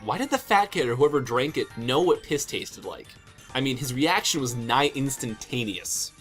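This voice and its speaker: A man's voice: medium pitch, expressive, moderate pace, over music, lightly acted. A man voicing a cool, sarcastic, foul-mouthed teenage boy with a dry, slangy manner in an amateur comic dub. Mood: skeptical.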